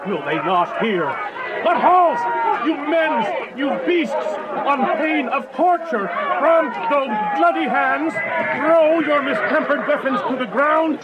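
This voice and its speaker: A man's voice: very high-pitched, full character voice. An actor playing an outraged, imperious prince in a theatrical Shakespearean radio drama, declaiming his commands. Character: outraged, imperious prince rebuking brawling subjects. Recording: very noisy crowd scene.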